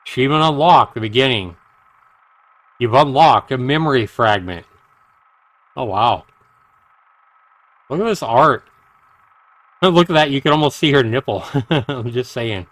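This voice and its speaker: A man livestreaming a visual novel, reading it out dramatically and deliberately with casual asides. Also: mid-range voice, animated, a bit bright, no echo. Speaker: game streamer. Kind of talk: reading a visual novel aloud with live reactions. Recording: music behind the voice. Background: game music.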